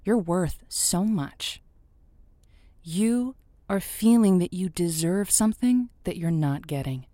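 An actress playing an earnest romance advice host on a call-in radio show, reassuring and emphatic, drawing out key words. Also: female voice, fairly low pitch, expressive, takes it slowly, dark tone, dry recording. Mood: earnest, encouraging. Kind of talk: semi-improvised audio comedy as radio advice show.

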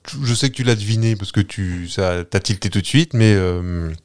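A man with a fairly low voice hosts a casual podcast. He tells his story in a playful, meandering way and keeps stopping on hesitations as he goes. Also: moderate pace, a little mellow. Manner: playful, rambling, many hesitations. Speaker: podcast host. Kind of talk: casual chat, telling a story.

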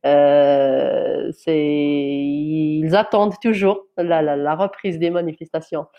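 Earnest Algerian woman journalist, halting. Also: low-pitched, fairly even intonation, clean tone, muffled recording. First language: Kabyle. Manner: hesitant, many restarts and fillers, earnest. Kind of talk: interview answer, personal account.

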